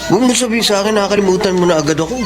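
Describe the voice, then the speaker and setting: An actor playing a smitten, flirtatious Filipino bar customer, smooth-talking and teasing, in a radio drama. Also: male voice, high-pitched, rapid-fire, crowd noise, background noise, close to an everyday voice. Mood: flirtatious, cheeky. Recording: loud music bed over voice.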